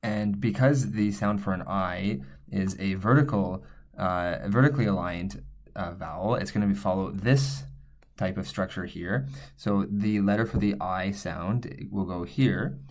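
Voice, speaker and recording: A man's voice: deep voice, unhurried. A man teaching a recorded language lesson, explaining patiently and thinking aloud with small fillers as he writes.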